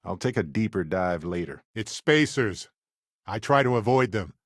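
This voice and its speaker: A low, very lively voice in a close, dry recording, a man playing a middle-aged space explorer from a video game. He is genial and curious, talking conversationally with a wry edge. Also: normal speed, dry recording, natural voice. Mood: casual, chatty. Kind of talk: video game character dialogue.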